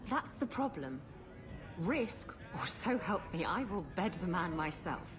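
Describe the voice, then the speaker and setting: A woman's voice: fairly low pitch, sing-song, a little slow, over music. A woman playing a taunting, flirtatious and impatient pirate with a mocking drawl in a video game cutscene. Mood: exasperated.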